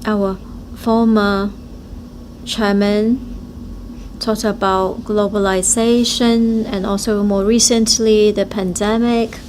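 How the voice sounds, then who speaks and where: Slow, halting woman interpreter. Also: mid-range voice, little pitch movement, a little mellow, a little hiss or hum. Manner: halting, short phrase-by-phrase delivery. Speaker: simultaneous interpreter at a forum. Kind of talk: live interpretation of speeches.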